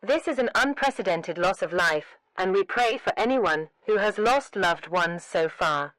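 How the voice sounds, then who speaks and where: A woman's voice: lowish voice, expressive, a bit bright, dry recording, low-quality recording. Formal woman reading an emergency broadcast. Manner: formal, measured, solemn. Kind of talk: scripted emergency alert announcement.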